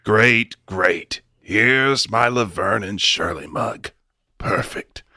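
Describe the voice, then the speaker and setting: A man's voice: on the low side, slow pace, pushed and bright, no room sound, full character voice. A man playing a brash, self-important new studio chief in a comedic amateur radio play, bossy and pompous.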